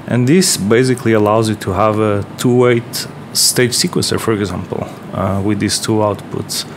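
Man with portuguese accent, low-ish voice, relaxed rambling demo, faint hum behind. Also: normal speed. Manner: relaxed, rambling, many fillers and restarts. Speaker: electronics maker. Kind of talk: casual workbench demo. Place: workbench, indoors.

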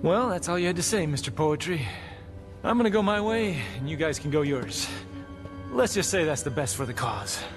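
A cocky middle-aged male demon hunter in a game cutscene. His voice is a little high for a man, wry and casually commanding, with music behind it. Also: expressive, normal speed. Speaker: game character actor. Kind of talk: video game cutscene acting.

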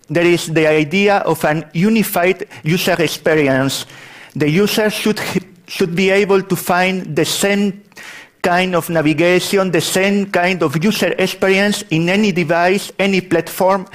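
A man's voice: high voice, measured pace. A man from Spain with a Spanish accent, giving a technical conference talk in a large hall, often restarting his sentences. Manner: apologetic about his English, frequent restarts. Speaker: conference speaker.

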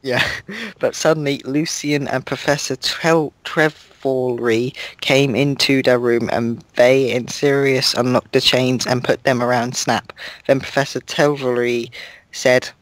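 Young man, deadpan, slow dramatic reading, dry close voice-chat mic. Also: medium pitch, no room sound. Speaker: reader of a fan fiction. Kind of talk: mock-dramatic reading over gameplay.

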